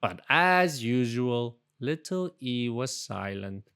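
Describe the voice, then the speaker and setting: A storybook reader narrating for young children in an expressive, deliberate way. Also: mid-range voice, clear, resonant tone. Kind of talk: animated children's read-aloud narration.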